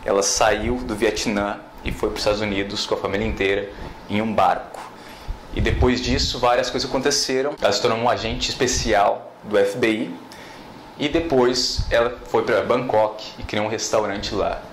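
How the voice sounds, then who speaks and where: A man's voice: fairly low pitch, steady tone, a little fast. A man introducing his video directly to viewers in a motivational tone. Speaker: vlogger. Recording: echoey room, faint noise.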